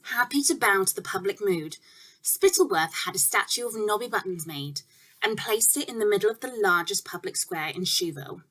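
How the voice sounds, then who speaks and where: A woman narrating a children's story in a measured, expressive way, phrasing clearly and stressing key words. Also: medium pitch, poor audio.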